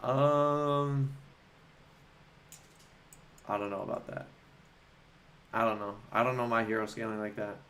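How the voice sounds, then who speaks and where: A man hosting a casual livestream, talking informally and haltingly as he thinks aloud and answers chat. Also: mid-range voice, fairly even intonation, a bit bright.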